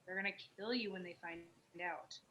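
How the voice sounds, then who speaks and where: A young woman playing an excitable, teasing roommate in her early twenties in a script reading. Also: on the low side, normal speed. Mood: concerned. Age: young adult.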